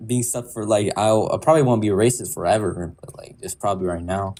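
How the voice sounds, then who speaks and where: A man's voice: fairly low pitch, fast talker, dark tone. A teenage boy from the United States, talking defiantly and brashly in a casual online voice chat. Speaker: caller in voice chat.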